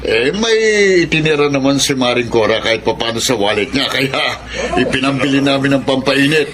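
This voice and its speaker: An actor playing a chummy neighbourhood drinking pal in a Tagalog radio drama, familiar and coaxing. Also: male voice, medium pitch, brisk, fairly bright tone, lightly acted. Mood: jovial, coaxing. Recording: noisy background under voice.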